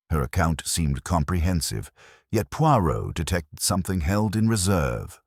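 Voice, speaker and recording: Very deep male mystery narrator. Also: dry recording, clean studio sound. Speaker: audiobook narrator. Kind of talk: scripted mystery story narration.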